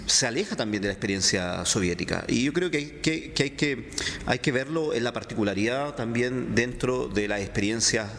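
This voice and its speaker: A man from Chile with a Chilean accent, a philosopher answering interview questions in a halting way, full of fillers and restarts. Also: medium pitch, fairly bright tone. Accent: Chilean Spanish. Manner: hesitant, many fillers and restarts. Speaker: philosopher guest. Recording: reverberant room, faint background noise.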